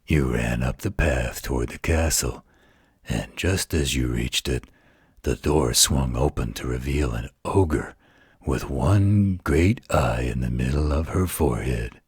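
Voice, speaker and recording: A man playing a gentle, patient werewolf who tells a bedtime story in a soothing, tender manner. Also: very deep voice, lively intonation, a little slow, breathy edge, no echo, studio quality, heavily acted. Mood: soothing storytelling. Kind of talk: intimate bedtime roleplay storytelling.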